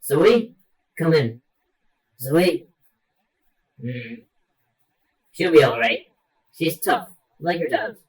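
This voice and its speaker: A very husky cranky robot sidekick in a superhero radio play, talking casually and coaxingly like a mentor. The voice is rough and sits a little away from the mic. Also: medium pitch, slow, long pauses, recorded from a distance, low-quality recording. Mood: wry, reassuring.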